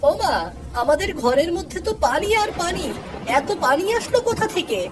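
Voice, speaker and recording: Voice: very high-pitched, expressive, normal speed, noisy background. A performer voicing a frightened young village child in a cartoon, plaintive and pleading. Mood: scared pleading. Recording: music behind the voice.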